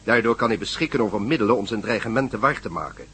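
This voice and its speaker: An actor playing a formal, grave radio newsreader in a radio play, delivering scripted lines in a measured, official way. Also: male voice, on the low side, pushed and bright. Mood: dramatic.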